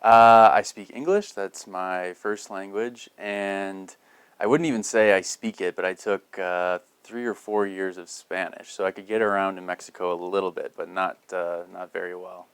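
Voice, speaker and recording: Very deep, smooth-voiced man. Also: normal speed, no room sound. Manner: modest, relaxed, some fillers. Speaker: seminary graduate. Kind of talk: interview answer.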